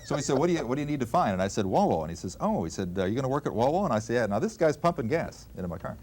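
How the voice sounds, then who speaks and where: A man's voice: medium pitch, racing. An older man, a former radio announcer, reminiscing casually and acting out remembered exchanges as he tells his anecdotes. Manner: animated storyteller acting out quoted exchanges.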